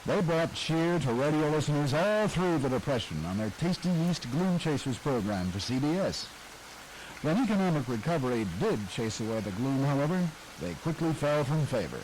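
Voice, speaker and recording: A man smoothly narrates the history of old-time radio in a scripted, informative way. His voice sits a little high and moves with animated lift. A faint cassette hiss runs under it. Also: normal speed, poor audio.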